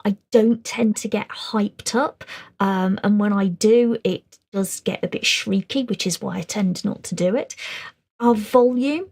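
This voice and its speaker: A middle-aged woman presenting a webinar lesson, talking rapidly in a calm, fairly quiet voice. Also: mid-range voice. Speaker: webinar presenter on special educational needs. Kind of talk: webinar lecture.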